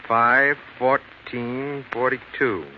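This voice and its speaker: Slow, plain-spoken radio police inspector. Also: male voice, mid-range voice, fairly even intonation. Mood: matter-of-fact. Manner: deliberate, matter-of-fact. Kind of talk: 1940s radio drama acting. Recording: muffled, hissy 1940s radio recording.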